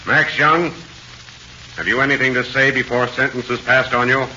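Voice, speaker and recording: An actor playing a stern, formal trial judge with solemn, declamatory delivery in 1940s radio drama. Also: male voice, medium pitch, steady tone, moderate pace, sharp tone. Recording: muffled, hissy 1940s radio recording.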